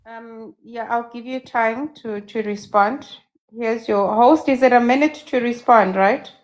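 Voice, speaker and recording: A woman's voice: a little high, measured pace, rough recording. A woman moderating an online debate, keeping her turns brief and handing over the floor.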